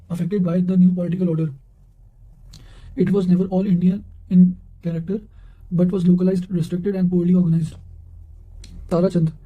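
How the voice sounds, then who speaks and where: A man with a fairly high voice and a very dark, relaxed tone reads study material aloud. His delivery is formal and flat in melody, with careful phrasing that often stumbles and restarts. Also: dull audio. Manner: careful, formal reading with frequent stumbles over words. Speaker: reader of history study material. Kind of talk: reading quotations aloud for exam revision.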